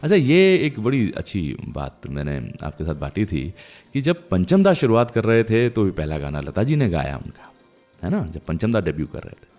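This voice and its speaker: A man's voice: fairly low pitch, sing-song, moderate pace, darkish tone. A man with an Indian accent hosting a radio show, talking to listeners in a conversational, reflective storytelling way about music.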